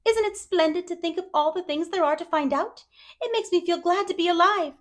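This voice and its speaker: A woman's voice: very high-pitched, a little fast, voice pushed up. A woman voicing a talkative, dreamy eleven-year-old orphan girl with effusive wonder and dramatic emphasis, in a storybook reading.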